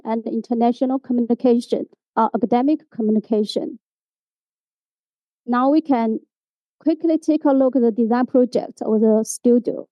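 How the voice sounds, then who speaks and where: A woman with a Chinese accent giving a prepared conference presentation in a slightly halting second language. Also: a little high, dark tone, dry recording, poor audio. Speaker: graphic designer giving a presentation.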